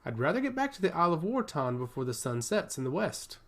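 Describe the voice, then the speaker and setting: Man playing a direct, lively fantasy envoy. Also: a little high, fast talker, heavily acted. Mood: curious. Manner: polite, direct, questioning. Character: male island envoy, purposeful, polite but impatient.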